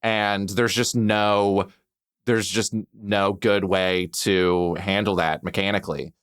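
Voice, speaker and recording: A podcast panelist chatting casually about tabletop games in an animated, emphatic way, airing gripes. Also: low-pitched, slow, clear, resonant tone. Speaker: podcast panelist and game master. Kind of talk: casual tabletop gaming chat.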